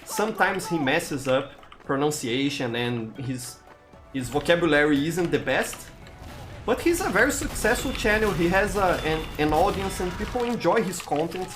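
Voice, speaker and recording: Male streamer, Brazilian accent, animated delivery, halting word-searching, background music on the stream. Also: on the high side. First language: Portuguese. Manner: halting, often repeats words while searching for them. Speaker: game streamer. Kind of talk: casual gameplay commentary, answering chat.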